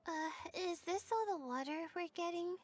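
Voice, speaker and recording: A woman's voice: very high-pitched, moderate pace, soft background music. A woman playing an anxious, earnest young contestant in a video game, speaking hesitantly. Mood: hesitant, resolute.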